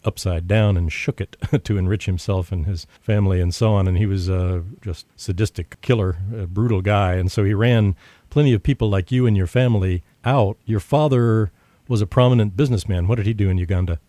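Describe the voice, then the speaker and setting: A man's voice: lowish voice, brisk, dark tone, no echo. A man hosting a radio interview show, talking conversationally with frequent fillers. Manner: conversational, many fillers and restarts.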